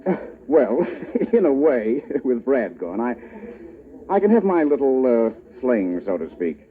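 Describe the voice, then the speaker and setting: A man's voice: mid-range voice, big pitch swings, measured pace. Jolly bumbling rich guy, bouncy voice, chuckles, tinny old radio. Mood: jovial. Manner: chuckling, hesitant, jovial. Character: genial, bumbling wealthy older businessman. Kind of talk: 1940s radio acting.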